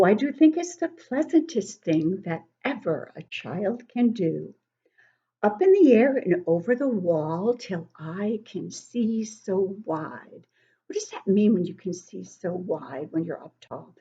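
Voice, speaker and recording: Animated older woman reading to young children. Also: husky, highs cut off. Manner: encouraging, asks questions and pauses to praise answers; expressive, simple explanations pitched to small children. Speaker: children's book club teacher reading poems aloud. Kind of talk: interactive read-aloud lesson for young children.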